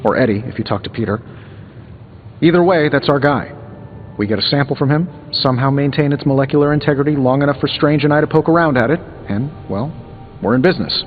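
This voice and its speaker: A man's voice: medium pitch, quick pace, narrow, tinny audio, close to an everyday voice. Male actor as wisecracking American tech-genius superhero for a video game. Glib and sardonic; reverberant, music behind. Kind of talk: video game dialogue acting.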